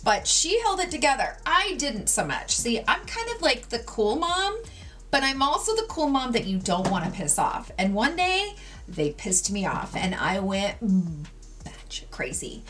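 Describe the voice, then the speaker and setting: A woman in her forties talking through a makeup tutorial at home, with gossipy, animated storytelling and lots of "like"s. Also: on the high side, measured pace. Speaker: beauty vlogger. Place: home, sitting at a makeup table.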